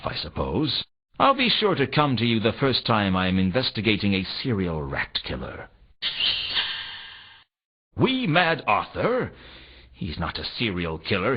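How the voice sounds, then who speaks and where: Low-voiced, sardonic noir detective. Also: male voice, a little slow, breathy edge, heavily acted. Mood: sardonic, probing. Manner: sardonic, deadpan. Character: dry, world-weary hardboiled fantasy detective, adult man. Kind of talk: video game dialogue and noir narration. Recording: dull lo-fi game audio, faint noise.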